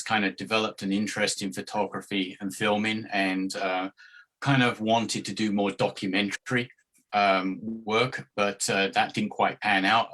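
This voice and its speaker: A man's voice: on the low side, sharp tone, low-quality recording. A man with a hard-to-place mixed accent, a guest on a podcast, telling his story in a hesitant, rambling way with many restarts. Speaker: guest, travel video maker. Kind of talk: casual podcast interview.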